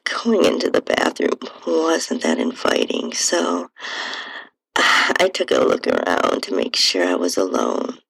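A woman narrates a first-person story in a breathy, husky voice full of gravelly fry. Her delivery is sultry and slow, with pitch that swings widely from low creak to lighter tones. It sounds intimate, like an audiobook. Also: huge pitch swings, fairly bright tone, dry recording, low-quality recording. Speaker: podcast host and narrator.

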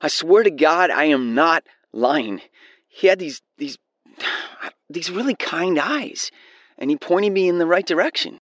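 An actor playing an earnest, excitable man phoning a radio show, hesitant and stumbling over his words. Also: a little high, unhurried, no echo. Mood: insistent, awed. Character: earnest, excitable male radio caller, awed believer. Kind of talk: naturalistic audio drama acting.

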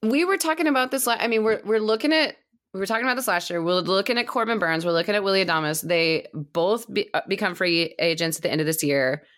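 A woman's voice: mid-range voice, fast talker, a bit bright. A woman chatting casually and enthusiastically as a co-host of a baseball fan podcast. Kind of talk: casual chat.

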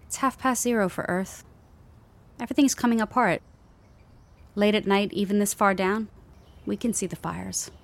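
A woman playing an earnest, measured community chaplain sending a radio message from a crumbling world in an audio drama. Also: mid-range voice, natural voice. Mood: grave, steady.

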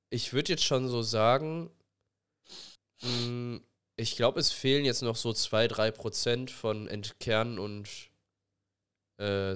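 A man's voice: medium pitch, fairly bright tone, clear, resonant tone. A young man with a cold, co-hosting a casual podcast, rambling with lots of fillers. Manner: rambling, filler-heavy, colloquial. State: has a cold. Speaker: podcast co-host. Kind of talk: casual chat over a voice call. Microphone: recording mic, directly connected.

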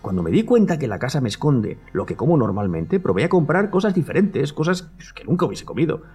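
A man's voice: fairly high pitch, sing-song, fairly quick, warm tone, husky, faint music behind, heavily acted. An actor with a Castilian Spanish accent playing a nervous, agitated man in an audio drama, rambling and repeating himself. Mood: exasperated. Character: agitated man convinced his house hides his favourite food.

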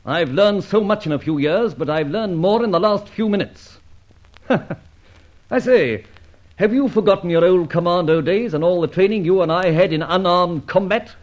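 An actor playing a plucky, confident gentleman adventurer in an old radio serial, with breezy old-fashioned slang. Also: sits high, normal speed, muffled recording, close to an everyday voice. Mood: triumphant, mocking.

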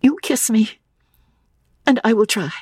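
A woman voicing a distraught, guilt-torn young woman in a story, with a quavering, halting delivery. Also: on the high side, animated, darkish tone, husky, no echo, studio quality, pitched above the performer's own voice. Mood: tentative resolve.

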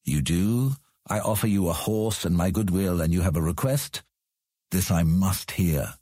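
Deep, husky, commanding fantasy ruler. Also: male voice, warm tone, no room sound, studio quality. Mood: imperious. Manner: deliberate, formal, commanding. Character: proud, measured ruler of an outland city on his throne. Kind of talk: fantasy audio drama acting.

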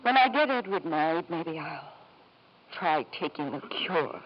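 An actress playing a brittle, sardonic middle-aged wife who drinks, in 1950s radio drama style. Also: female voice, low-pitched, animated, unhurried. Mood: brittle, melancholy. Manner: gracious but brittle, sardonic. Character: brittle, bitter, hard-drinking wife and mother. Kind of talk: 1950s American radio acting. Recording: muffled, hissy old radio broadcast.

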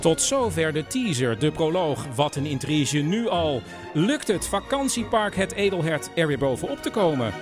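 A man's voice: on the high side, sing-song, normal speed. A man narrating a radio play in the first person as its presenter. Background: music.

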